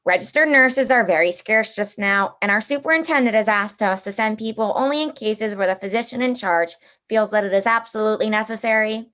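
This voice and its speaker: An actress playing a brisk, formal hospital receptionist in a radio drama, politely officious and clipped. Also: female voice, medium pitch, a bit bright, lightly acted. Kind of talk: 1940s radio drama acting.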